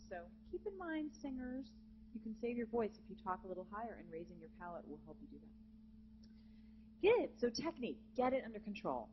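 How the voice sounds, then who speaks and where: Female vocal coach, low natural voice, encouraging clinic delivery; dull live hall recording with a faint hum. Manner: lively, encouraging, demonstrates with sung examples. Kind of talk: live masterclass teaching. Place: conference hall with student audience.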